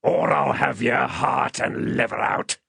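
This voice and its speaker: A man voicing a menacing, rough convict in a storybook, with threatening, coarse rustic speech. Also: medium pitch, measured pace, a bit bright, dry recording, studio quality, pitched above the performer's own voice, exaggerated voice.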